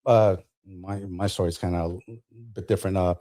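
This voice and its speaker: A man in his fifties speaks in a low, relaxed, dark-toned voice. He is a veteran guest on a podcast, telling his story casually and restarting phrases as he goes. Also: moderate pace. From New Jersey, born in Colombia. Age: 50s.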